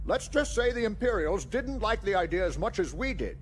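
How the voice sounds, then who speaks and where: High-pitched, grave alien admiral. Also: male voice, big pitch swings, a little fast. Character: grave alien male admiral of a rebel fleet. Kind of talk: sci-fi audio drama acting. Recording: faint background noise.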